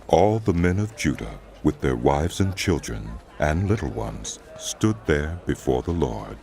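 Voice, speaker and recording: A man narrating scripture as a scripted drama, telling the story in a solemn, measured way. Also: rumbling low, sing-song, vocal fry, a little mellow.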